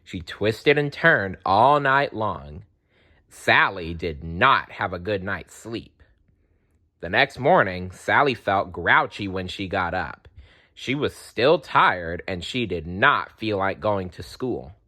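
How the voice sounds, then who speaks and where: Slow, expressive male storybook reader. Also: low voice. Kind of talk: expressive children's read-aloud.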